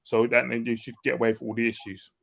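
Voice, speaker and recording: A male co-host bantering in quick, jokey casual chat over a video call. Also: medium pitch, monotone, vocal fry, a bit bright.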